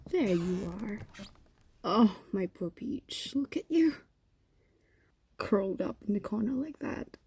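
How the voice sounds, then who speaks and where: A woman's voice: on the high side, dark tone, breathy edge, full character voice. A woman voicing an ancient, possessive and doting fox demon in an intimate roleplay audio drama, coaxing and condescending, often slipping into whispers.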